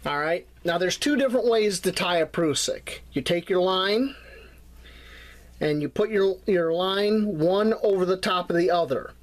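A man's voice: high-pitched, a little slow. A man teaching bushcraft knots, talking through each step while his hands tie the cord. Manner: plain step-by-step explaining while tying. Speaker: bushcraft instructor. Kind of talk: hands-on knot tutorial.

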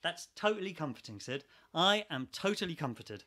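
A man's voice: a little high, very animated, normal speed, bright, forceful tone. A man acting in a radio play as an exasperated, sarcastic ex-army geologist. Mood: incredulous, sarcastic. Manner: sardonic, sweary, incredulous.

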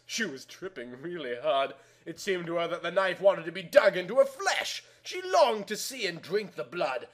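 A man reading a story aloud in a theatrical, exaggerated way. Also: sits high. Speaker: narrator reading amateur fan fiction aloud.

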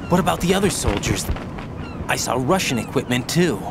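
An actor playing an earnest, naive young special-ops rookie in a video game, with a questioning manner. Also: male voice, medium pitch, expressive, brisk, creaky, background noise. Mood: puzzled, probing. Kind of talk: video game cutscene acting.

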